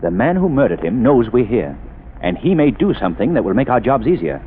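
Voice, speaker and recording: A man playing a calm, wealthy amateur sleuth in a 1930s radio drama, measured and commanding. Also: mid-range voice, close to an everyday voice. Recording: tinny old radio broadcast with faint hiss.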